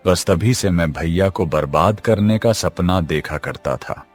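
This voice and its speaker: A man's voice: sits low, a little slow. A man playing a boastful, spiteful younger brother and village chief in a cartoon, haughty and taunting. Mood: angry, bitter. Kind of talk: Hindi cartoon story acting. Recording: music behind the voice. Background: music.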